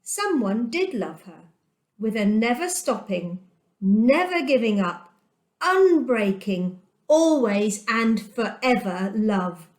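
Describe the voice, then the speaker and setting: A woman tells a children's Bible story in a fairly high, very animated voice. She takes her time, pausing dramatically for emphasis like someone reading aloud to young listeners. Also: roomy sound.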